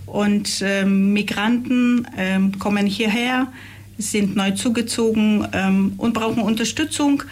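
A woman in her fifties who has worked for decades as a counselor for young migrants, speaking in a measured way with hesitant fillers as a radio guest. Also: medium pitch, fairly even intonation, a little mellow.

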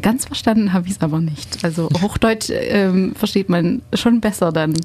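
A woman's voice: medium pitch, moderate pace, a little mellow. A woman from Belgium hosting a radio interview, chatty and hesitant with many fillers. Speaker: radio host.

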